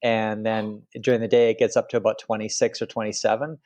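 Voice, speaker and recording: Casting: middle-aged Canadian-raised man, lowish voice, easygoing and meandering, video call. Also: flat delivery, a little fast, darkish tone. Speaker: guest author. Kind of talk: interview conversation.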